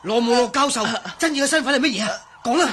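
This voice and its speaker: A man acting a naive, excitable, talkative sidekick stranded in the desert in a Cantonese radio drama, exclamatory in manner. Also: very high-pitched, fast talker. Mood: agitated, threatening. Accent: Cantonese, Hong Kong. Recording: faint background noise.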